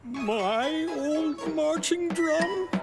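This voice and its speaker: A man's voice: very high voice, drawn-out words, over loud music, heavily acted. A man playing a grumpy, flustered middle-aged dad, blustering and exasperated, in a children's cartoon. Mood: dismayed.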